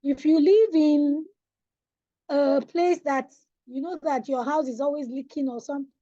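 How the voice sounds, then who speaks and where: A woman's voice: high-pitched, a little slow, mellow, no room sound, highs cut off. A Nigerian woman coaching young people on money in an online webinar, emphatic and preacherly, often putting questions to her listeners. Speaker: financial coach. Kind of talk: webinar talk on personal finance. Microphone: online webinar audio.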